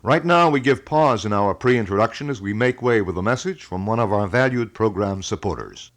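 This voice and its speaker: Very deep-voiced formal American radio man. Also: expressive, normal speed. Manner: formal, wordy, old-fashioned announcer phrasing. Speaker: radio talk show host. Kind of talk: live local radio broadcast, formal announcing and reading letters. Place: radio studio.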